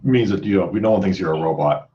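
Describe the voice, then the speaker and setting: A man with a deep voice chats quickly as a podcast host. He circles back over his words in a loose, conversational way. The sound is somewhat dull, as over a band-limited call. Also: dark tone. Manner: rambling, conversational, repeats words as he thinks. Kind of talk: casual podcast panel chat.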